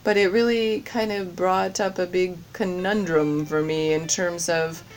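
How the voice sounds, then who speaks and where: A woman with a fairly low voice answers interview questions at an unhurried pace. She stops often, restarts her sentences and fills the gaps with ums. Soft music plays faintly behind her. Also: steady tone. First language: English. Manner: hesitant, many fillers and restarts. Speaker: course participant, general manager.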